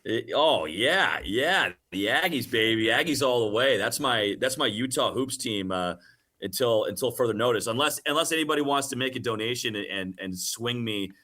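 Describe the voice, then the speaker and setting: A man giving an animated, emphatic casual sports radio interview as a guest hockey announcer. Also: mid-range voice, moderate pace.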